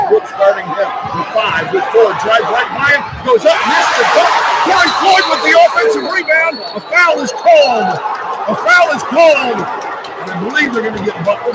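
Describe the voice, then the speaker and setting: A man calling live basketball on the radio from courtside in an excitable manner, in short, clipped phrases. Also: very high voice. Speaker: radio play-by-play commentator. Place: courtside in a busy basketball arena. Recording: loud crowd and arena noise behind. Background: roaring crowd, arena noise.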